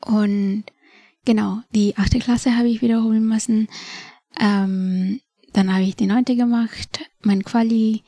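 Twenties woman from nepal, nepali native, mellow voice, slow and hesitant, lots of ums, dry studio. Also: medium pitch, fairly even intonation, dry recording. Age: 20s. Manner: thoughtful, hesitant, many fillers. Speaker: podcast guest. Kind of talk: personal story in an interview. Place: podcast studio.

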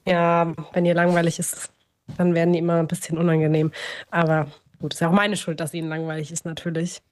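Eine Frau, die in einem Podcast locker und mit trockener Ironie plaudert. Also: female voice, lowish voice, flat delivery, fast. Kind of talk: casual podcast chat. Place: at home.

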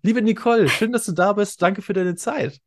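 A man's voice: high-pitched, normal speed. A man hosting a podcast in a casual, chatty interview style, addressing listeners directly in informal language. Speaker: podcast host.

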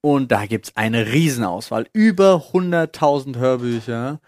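A man's voice: mid-range voice, animated, measured pace, a little mellow, dry recording, studio quality. A man co-hosting a podcast, talking in a casual, colloquial and agreeable way, as in a sponsor read. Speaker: podcast host.

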